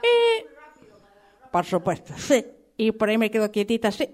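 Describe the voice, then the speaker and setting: Woman playing a high-voiced Argentine mother cockroach. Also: wildly swooping pitch, moderate pace, a faint crowd. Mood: coy, chatty. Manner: repetitive, coy, hesitant, conversational asides. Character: chatty sentimental mother cockroach, vegan, improvised and comic. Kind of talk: improvised comic radio theatre monologue in interview form.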